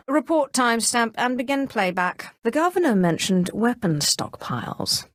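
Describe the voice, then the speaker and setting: A woman's voice: medium pitch, dry recording, clean studio sound. A woman voicing an imperious, haughty Sith sorceress in a video game, speaking in a commanding, controlled and theatrical way with cool menace. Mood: cool, probing. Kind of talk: scripted video game voice acting.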